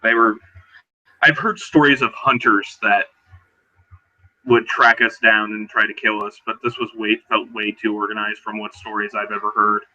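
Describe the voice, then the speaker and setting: A man playing a weary, guarded but determined werewolf survivor in a tabletop roleplay, speaking haltingly and low-key. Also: steady tone, sharp tone, dull audio. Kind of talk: in-character tabletop roleplay dialogue.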